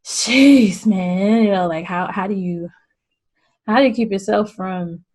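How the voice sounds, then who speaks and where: A woman hosting a podcast in casual chat, relaxed and rambling. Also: mid-range voice, measured pace, breathy edge. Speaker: podcast host.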